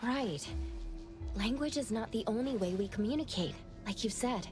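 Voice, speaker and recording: An actress playing an earnest, empathetic young woman in an anime-style video game, explaining gently and persuasively. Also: on the high side, normal speed, natural voice. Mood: thoughtful, hopeful. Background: faint background music.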